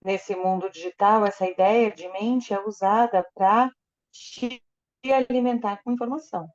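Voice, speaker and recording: A woman's voice: medium pitch, steady tone, unhurried, dull audio. A woman interpreting a lecture into Portuguese, often hesitating and correcting herself as she goes. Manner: hesitant, with fillers and self-corrections.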